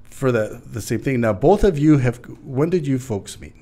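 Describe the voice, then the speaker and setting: A man's voice: mid-range voice, lively intonation, moderate pace, dark tone. A man, hosting a podcast interview in a small studio at a mic, talking animatedly with many restarts. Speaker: podcast host. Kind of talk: casual interview chat. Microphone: studio mic with headphones.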